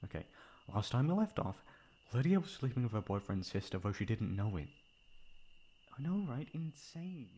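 A man playing a caring, protective young best friend in an intimate ASMR roleplay, with a soft, breathy voice. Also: mid-range voice, very animated, moderate pace, pauses often. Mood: affectionate, playful. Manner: intimate, comforting, casual. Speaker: voice actor.

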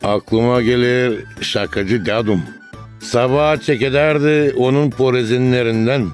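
An actor playing a fond, nostalgic old village shepherd in a cartoon. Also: male voice, mid-range voice, measured pace, natural voice. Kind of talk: cartoon dialogue. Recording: light music behind.

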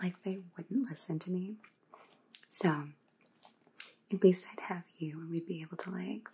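A woman's voice: on the low side, darkish tone, a little hiss or hum. A young woman playing an aloof, trend-obsessed vampire housemate in an ASMR roleplay, drawling casually and often whispering. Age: young adult. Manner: casual valley-girl drawl full of "like".

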